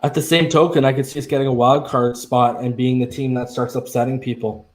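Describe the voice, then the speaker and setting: A man's voice: mid-range voice, little pitch movement, fairly quick. Opinionated man talking sports. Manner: emphatic, opinionated, says "man" a lot. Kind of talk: casual sports talk.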